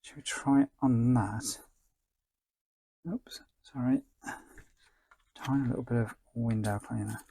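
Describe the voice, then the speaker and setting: A man giving a quiet, casual hands-on ASMR demonstration, murmuring to himself as he works and at times nearly whispering. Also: mid-range voice, fairly even intonation, unhurried, gravelly, pauses often, husky, dry recording. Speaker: presenter of a restoration demonstration.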